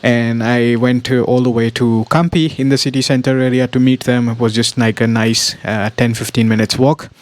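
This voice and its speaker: A young man narrating his travel vlog as a casual voiceover, relaxed and rambling with fillers. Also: medium pitch, normal speed, hardly any pauses. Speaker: travel vlogger.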